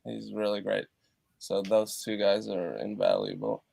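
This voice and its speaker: A man from Europe, a musician guest on an interview over a video call from his treated home studio, talking in a halting way with many fillers and restarts. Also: on the low side, expressive, gravelly, darkish tone, no echo.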